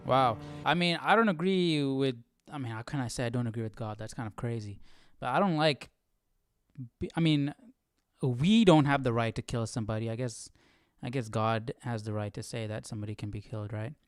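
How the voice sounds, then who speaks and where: A man's voice: medium pitch, a little fast, no room sound. Casual man thinking aloud, clean dry sound. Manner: hesitant, thinking aloud, often tags sentences with "right?". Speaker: host of a live Bible study. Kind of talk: casual reaction commentary.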